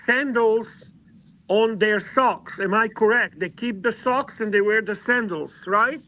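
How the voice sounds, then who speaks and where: Male co-host from Serbia, native Serbian speaker, high voice, casual teasing banter with swearing. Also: unhurried, pushed and bright. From Serbia, Belgrade.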